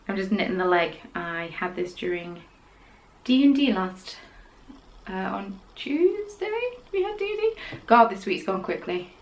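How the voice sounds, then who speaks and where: A young woman hosts a casual podcast. She talks in a chatty, rambling way and drops her voice to a whisper for quick asides. Her pitch sits in the middle of the usual range. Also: rough recording. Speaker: podcast host. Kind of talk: casual chat about knitting.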